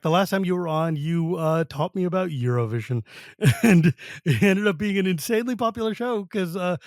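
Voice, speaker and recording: A man's voice: fairly high pitch, a little mellow, no room sound, clean studio sound. A middle-aged man hosting a podcast in casual chat, rambling, restarting and laughing often. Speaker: podcast host.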